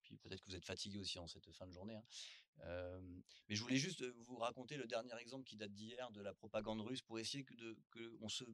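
Low-voiced male panel moderator. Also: fast, a bit bright, no echo. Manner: loose, searching phrasing with fillers. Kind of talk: round-table moderation.

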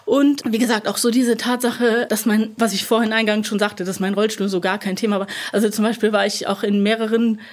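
Interview guest: young woman, relaxed and chatty, full of fillers and quick laughs. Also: mid-range voice. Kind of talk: casual conversational interview.

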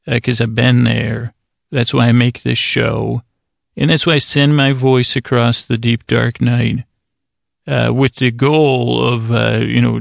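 A man with a heavily creaky, gravelly voice, recorded dry and close. He delivers a rambling bedtime monologue as a podcast host, stumbling, doubling back and drifting into digressions at a middling pitch. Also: dry recording. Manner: halting, meandering, digressive, stammering and self-correcting. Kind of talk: rambling bedtime monologue meant to lull listeners to sleep.

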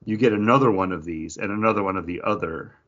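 A man hosts a podcast in a loose, conversational way. His voice sits low and has a husky grain, and the recording sounds slightly dull. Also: fast talker, a bit bright. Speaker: podcast host. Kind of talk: casual chat.

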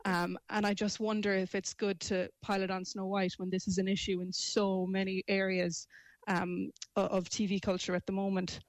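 A woman's voice: mid-range voice, little pitch movement, moderate pace, dry recording. A woman speaking as a panel guest, articulate and reflective, often framing her points as questions. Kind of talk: discussion, opinion.

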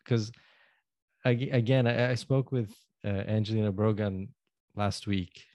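Male podcast host, easygoing and chatty, lower voice, dry booth sound. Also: measured pace, no room sound. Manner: relaxed, friendly, hesitant with fillers. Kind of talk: casual podcast interview.

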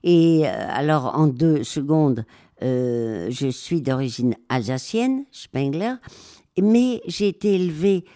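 This is an older woman speaking at an unhurried, deliberate pace, her voice mellow and dark. She pauses often and fills the gaps with hesitations as she recounts her experiences in a reflective interview. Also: low voice, expressive. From raised in Spain. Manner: slow, hesitant, many pauses and fillers. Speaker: interview guest, war photographer.

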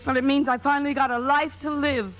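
An actress playing a mature, sardonic stage star in a 1950s radio drama, speaking with wry, theatrical emphasis. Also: female voice, sits high, level tone, fast talker, fairly bright tone, faint music behind. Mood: earnest, emphatic. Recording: muffled vintage radio broadcast.

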